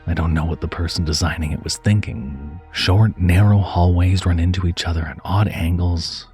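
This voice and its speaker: Very deep-voiced man narrating horror. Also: steady tone, normal speed, a little mellow, breathy edge. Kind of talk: dramatic first-person horror story narration. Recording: music under the voice. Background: background music.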